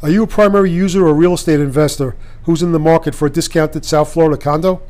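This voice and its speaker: A man reading a scripted commercial as an announcer, in a measured, formal way with clear phrasing. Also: fairly high pitch, steady tone, a little mellow. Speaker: advertisement announcer.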